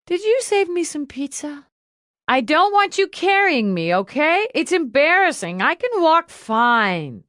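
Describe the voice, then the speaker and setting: A woman's voice: sits high, sing-song, unhurried, fairly bright tone, no echo, studio quality, voice pushed up, full character voice. A woman voicing a tough, foul-mouthed policewoman who is brash and blurting, in an audiobook drama. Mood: goofy, dreamy. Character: tough, foul-mouthed policewoman turned giddy and goofy by painkillers.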